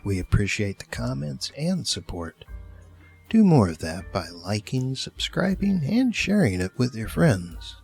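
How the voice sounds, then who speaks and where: A man's voice: mid-range voice, big pitch swings, measured pace, gravelly, darkish tone, slightly rough, faint music behind. A man hosting the end of an audio series, reading credits and thanks in a casual scripted style.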